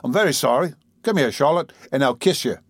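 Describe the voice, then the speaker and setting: A man's voice: mid-range voice, lively intonation, a little fast, no echo, studio quality, lightly acted. A man voicing a drunken, lazy, whining young apprentice with working-class speech in an audiobook. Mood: tipsy, smug. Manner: lolling, self-pitying, working-class speech. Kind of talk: audiobook character voice.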